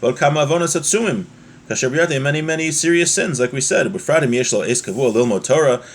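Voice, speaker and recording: A man's voice: medium pitch, fast, a little hiss or hum. A man teaching an informal religious lesson, reading from a text and explaining it with frequent check-in questions.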